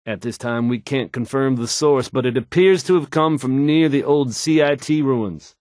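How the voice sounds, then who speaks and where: An actor playing a polished, confident radio DJ in a video game, with fluent, assured announcer delivery. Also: male voice, mid-range voice, moderate pace, darkish tone, no echo, studio quality, natural voice. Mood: grave, composed.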